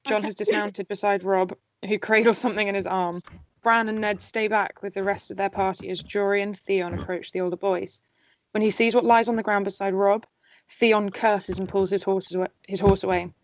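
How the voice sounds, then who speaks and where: A woman reads scripted stage directions as the narrator of an amateur table read. Her pitch is middling and the sound is dry and close. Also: fairly even intonation, moderate pace, no room sound, rough recording.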